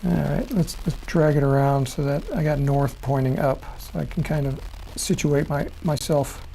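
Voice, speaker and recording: A middle-aged man from Chicago giving a casual screen-share demo of rocketry software, rambling and correcting himself as he goes. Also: a little high, steady tone, normal speed, gravelly, slight background noise, poor audio.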